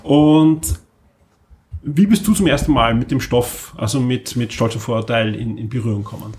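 A man's voice: mid-range voice, moderate pace, a little mellow. A man hosting a podcast in casual chat, speaking emphatically and often repeating words for stress. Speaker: podcast host.

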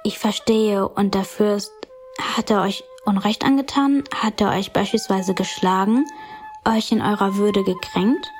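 A woman's voice: mid-range voice, measured pace, faint music behind, lightly acted. A woman playing a noblewoman questioning her slaves in a radio play, probing and authoritative.

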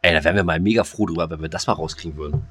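Male co-host, 30s, very deep voice, jokey, stammering, hesitant. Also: very fast, a bit bright, breathy edge. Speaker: podcast co-host. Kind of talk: casual comedic chat.

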